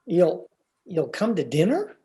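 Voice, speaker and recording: A man's voice: high-pitched, big pitch swings, slow pace, dark tone, exaggerated voice. A man playing a flustered, mild-mannered banker husband in an old-time radio comedy, hesitant and exasperated. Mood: deferential.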